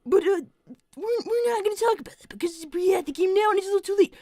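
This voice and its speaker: A woman's voice: extremely high pitch, fast talker, dark tone, no echo, clean studio sound. A woman voicing a nervous, earnest young male fox who stammers and rambles while streaming live. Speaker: livestream character performer. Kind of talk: live roleplay stream with chat.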